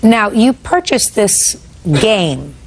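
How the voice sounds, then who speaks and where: A woman's voice: mid-range voice, big pitch swings, slow pace, husky. An older woman presiding as judge on a TV courtroom show, blunt and interrogating.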